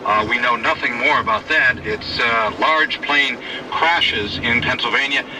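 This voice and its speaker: Reporter guy stumbling thru breaking news on the car radio, sounds far off, road noise. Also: mid-range voice. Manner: shaken, halting, many fillers.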